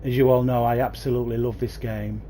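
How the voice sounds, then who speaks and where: A man's voice: mid-range voice, level tone, fast, mellow, slight background noise. Chatty man streaming a game. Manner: chatty, enthusiastic, talks to viewers by name. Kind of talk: casual livestream commentary. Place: at home playing on a console. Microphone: new gaming headset.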